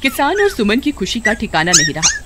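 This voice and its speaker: A woman's voice: a little high, huge pitch swings, brisk, heavy background noise. A woman giving scripted narration for a cartoon folk tale.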